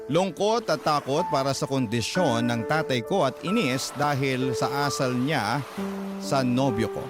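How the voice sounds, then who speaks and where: A man's voice: mid-range voice, a little slow, over loud music. A man narrating a scripted first-person letter for a radio drama, in a sentimental storytelling manner.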